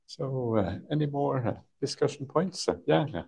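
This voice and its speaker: A middle-aged monk speaking at an ordinary pitch in a relaxed, soft way. He pauses often with uhs and little chuckles while he explains things to his listeners. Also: vocal fry, husky. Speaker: Buddhist monk teaching a sutta retreat. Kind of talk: relaxed dharma talk with Q&A. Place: meditation hall with shrine, indoors.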